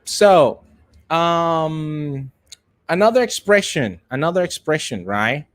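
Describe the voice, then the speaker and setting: A man teaching a live online English lesson, prompting students and repeating key phrases with slow, deliberate emphasis. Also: fairly high pitch, expressive. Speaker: English teacher for call center trainees.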